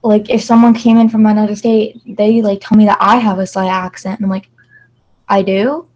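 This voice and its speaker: A woman's voice: medium pitch, level tone, normal speed, darkish tone, lo-fi sound. A woman from Virginia, a student guest chatting casually with lots of fillers. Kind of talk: casual chat.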